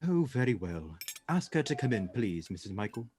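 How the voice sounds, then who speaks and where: A man acts out a courteous gentleman detective for a radio play. His voice is mellow and formal, moving up and down in lively swings, with a little noise behind it. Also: fairly low pitch, moderate pace. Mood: courteous, attentive.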